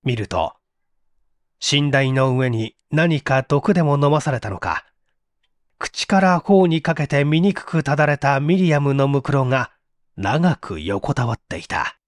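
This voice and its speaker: A man reads mystery fiction as an audiobook narrator. His delivery is theatrical and suspenseful, with lively swings in pitch, and the recording is close and dry. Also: unhurried, dry recording. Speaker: audiobook narrator, stage actor. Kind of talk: dramatic scripted mystery narration.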